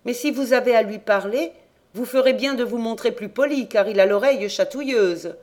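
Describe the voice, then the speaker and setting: A reader voicing a cheeky young cabin boy who speaks in a high voice. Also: normal speed, lightly acted.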